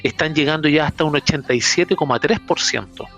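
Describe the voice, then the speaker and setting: A man with a Chilean accent, a podcast panelist who explains international news in a relaxed way and often restarts his phrases. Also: a little high, a little fast, faint music behind. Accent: Chilean Spanish. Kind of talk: casual podcast news roundup.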